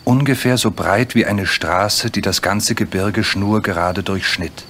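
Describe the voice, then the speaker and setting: A man's voice: on the low side, unhurried, a bit bright, a little hiss or hum. A man narrating a scripted children's story as its storyteller. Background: music.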